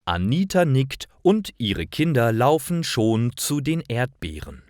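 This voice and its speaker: A man reading scripted stories for language learners with careful, expressive enunciation. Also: medium pitch, slow, no room sound, studio quality. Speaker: narrator of stories for German learners.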